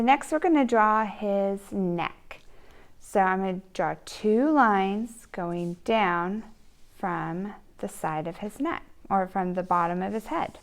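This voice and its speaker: A woman art teacher addressing young children with simple step-by-step instructions and questions. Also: mid-range voice. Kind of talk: children's read-aloud and step-by-step drawing lesson.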